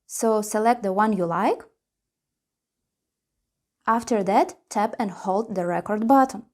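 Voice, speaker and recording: A woman's voice: medium pitch, takes it slowly, a little mellow. A woman presenting a tutorial, reading scripted step-by-step instructions.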